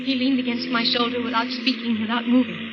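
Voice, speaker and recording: An actress as a frightened woman, high-pitched and breathless, pleading and hesitant in classic 1950s radio suspense style. The old recording is muffled and heavily noisy. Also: little pitch movement, brisk, natural voice. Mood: haunted narration. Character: anxious, frightened woman, stranded late-night cab passenger. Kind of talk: 1950s radio drama acting.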